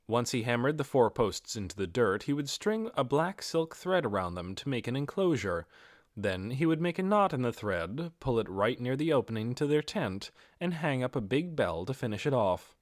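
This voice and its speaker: A man's voice: medium pitch, no echo, studio quality. A man reading a fantasy novel aloud as scripted audiobook narration. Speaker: audiobook reader.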